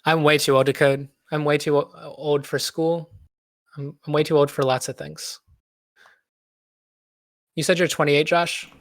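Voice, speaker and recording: A thirty-year-old man talks over voice chat, his sound close and dry with no room around it. His voice is slightly high for a man, and he jokes at his own expense in a laid-back way. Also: little pitch movement, measured pace, long pauses, no echo. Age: 30s. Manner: laid-back, self-deprecating humor. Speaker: guest, web developer. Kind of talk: casual livestream chat.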